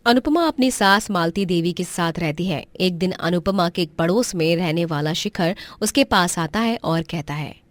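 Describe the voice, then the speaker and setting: A woman's voice: on the low side, moderate pace, few pauses, no echo. Woman narrating a story, close dry sound.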